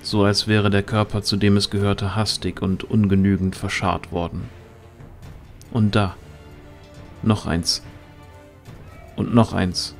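A man narrating a fantasy audio drama as scripted storytelling, in a deliberate, suspenseful manner. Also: on the low side, flat delivery, faint music behind.

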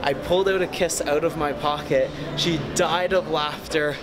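A man's voice: fairly high pitch, fairly even intonation, moderate pace, crowd noise. A man in his twenties chatting casually to camera as a vlog host, with a jokey, bragging manner. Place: large busy gym indoors. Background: loud music.

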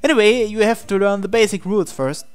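A woman's voice: medium pitch, fast talker, clear, resonant tone. A woman reading translated visual novel text aloud, acting out the hero's lines in a conversational way. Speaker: game player reading the hero's dialogue aloud.

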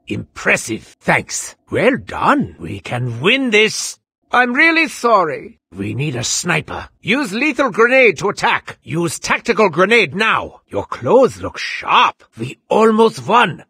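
An actor playing a forceful battle-hardened male soldier with a raspy voice in video game lines. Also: high voice, wildly swooping pitch, takes it slowly, no room sound, heavily acted. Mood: firm, clipped. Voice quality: raspy, gravelly. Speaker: game operator.

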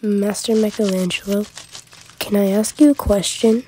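A woman's voice: medium pitch, fairly even intonation, moderate pace, warm tone, slight background noise, close to an everyday voice, low-quality recording. A woman voicing a polite, curious young apprentice in a cartoon comic dub, respectful and hesitant. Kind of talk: fan comic dub acting.

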